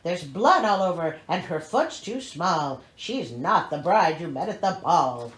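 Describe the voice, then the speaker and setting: Woman as a singsong tattling pigeon, swooping pitch. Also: very animated, unhurried, a bit bright, reverberant. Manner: singsong rhyming. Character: tattling, chanting fairy-tale pigeons.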